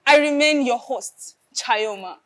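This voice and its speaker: A woman's voice: high voice, slow, a bit bright. A woman playing a formal Nigerian radio music show host in a stage play, addressing listeners in a presenter's style. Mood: upbeat, formal.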